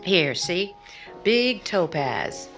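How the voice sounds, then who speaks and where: A woman's voice: on the low side, sing-song, very slow, music in the background, full character voice. A woman acting in 1950s-style radio drama as a worldly, forward single woman at a bar, teasing and direct.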